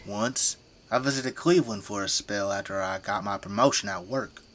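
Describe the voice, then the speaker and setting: A man's voice: lowish voice, normal speed, a bit bright, natural voice. An actor playing a folksy, teasing middle-aged Midwestern family man who works as a zombie-fighting janitor in an audio drama. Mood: jovial, frank.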